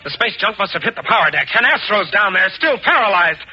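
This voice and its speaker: An actor playing an earnest, quick-witted young space cadet in a 1950s radio adventure, with an urgent delivery. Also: male voice, sits high, sing-song. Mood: grave, urgent. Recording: noisy, muffled 1950s radio recording.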